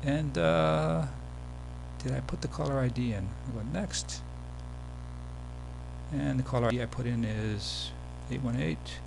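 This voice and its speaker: Male demo presenter, casual screencast tutorial delivery, mid-pitched voice, slight hum and noise in the recording. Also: unhurried, gravelly, pauses often.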